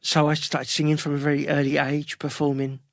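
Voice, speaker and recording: Middle-aged London male musician for relaxed interview chat, slightly high voice with gravelly fry, rambling anecdotal delivery. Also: brisk, no room sound, studio quality. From London, England. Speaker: guest musician. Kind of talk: casual conversational interview.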